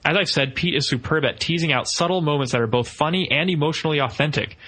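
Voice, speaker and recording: A man's voice: fairly high pitch, quick pace, pushed and bright. A man hosting a podcast, talking through ideas and reading aloud from a book. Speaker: podcast host.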